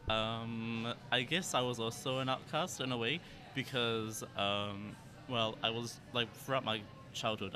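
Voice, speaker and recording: A man candidly sharing a personal story in a wry, halting way, laughing at himself between ums. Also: on the low side. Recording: harmonica music playing behind.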